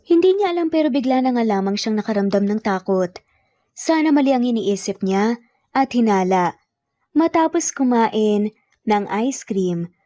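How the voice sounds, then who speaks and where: A woman's voice: mid-range voice, warm tone, no room sound. A woman with a Filipino accent reading a scripted romance story as narrator. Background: background music.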